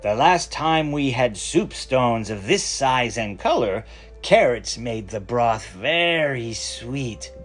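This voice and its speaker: A man voicing a gentle, wise traveling monk in a storybook, with slow, deliberate, kindly speech. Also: medium pitch, animated, soft background music. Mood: calm, assured.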